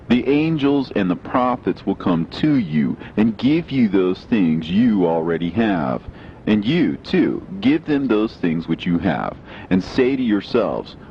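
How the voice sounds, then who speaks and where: A man giving a solemn, measured, declamatory reading of scripture. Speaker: reader. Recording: dull lo-fi with faint low rumble.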